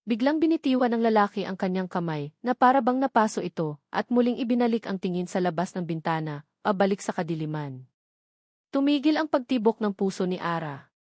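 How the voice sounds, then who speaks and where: A woman reads dramatic romance fiction as a scripted narrator. Her delivery is measured and melodramatic, with a medium-pitched voice and a very dry, close sound. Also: animated, a little mellow, dry recording. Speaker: story narrator.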